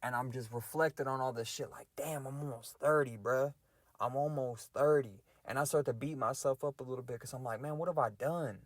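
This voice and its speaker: A man's voice: medium pitch, a bit bright, no echo. A man in his twenties talking loosely and reflectively in a casual solo rant, full of slang. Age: 20s. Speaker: podcast host ranting.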